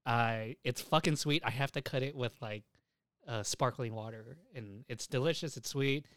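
A man's voice: medium pitch, normal speed, no echo. A young man co-hosting a casual anime news podcast, rambling along and swearing freely. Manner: profane, rambling. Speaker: podcast co-host.

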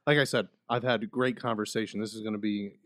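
A man's voice: fairly low pitch, fairly even intonation, fast talker, a bit bright, no room sound. A man co-hosting a podcast in a university studio, joking and laughing with the host. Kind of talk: casual podcast banter.

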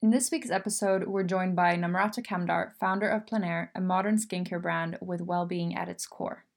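Woman co-host, lower voice with fry, even scripted read. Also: moderate pace, faint music behind. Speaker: podcast co-host. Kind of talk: scripted intro.

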